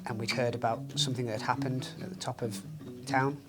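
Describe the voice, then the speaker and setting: A man's voice: mid-range voice, level tone, brisk. Reflective young man. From Northwest England. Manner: soft-spoken, reflective, grieving. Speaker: singer-songwriter. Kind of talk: documentary interview. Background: guitar music.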